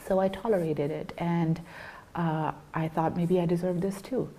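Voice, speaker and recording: A young adult woman giving an earnest personal account as a guest in an interview, halting with fillers and restarts. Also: lowish voice, fairly even intonation, mellow.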